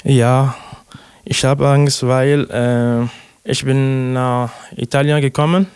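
Slow, flat-voiced man from Togo. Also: medium pitch, clear, resonant tone, dry recording, clean studio sound. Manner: hesitant, many "äh" fillers, simple sentences. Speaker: interviewee, refugee. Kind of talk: halting interview answers in learner German.

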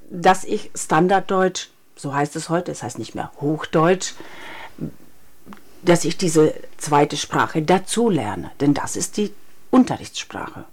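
Woman with a low voice, speech coach, slow and thoughtful, ticks off points. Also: a little mellow. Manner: thoughtful, deliberate, lists points slowly. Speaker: speech trainer, co-author. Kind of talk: radio interview guest.

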